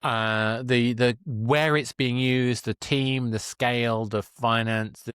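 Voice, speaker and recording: A man's voice: mid-range voice, fairly even intonation, slow, a bit bright, clean tone, no room sound, studio quality. A man hosting a conversational interview, feeling his way toward questions in a tentative, roundabout manner. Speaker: podcast host, interviewer.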